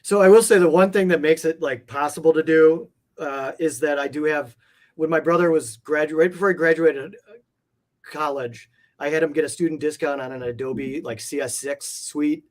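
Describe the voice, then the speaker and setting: Higher-pitched man chatting informally. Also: fairly even intonation, moderate pace. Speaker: interview guest, comic art collector. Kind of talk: casual chat.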